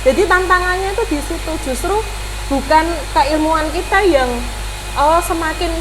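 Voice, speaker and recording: A woman's voice: extremely high pitch, moderate pace, noisy background. A woman, a language teacher explaining things patiently in a podcast interview, with frequent "eh" and "nah". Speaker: Javanese language teacher, guest.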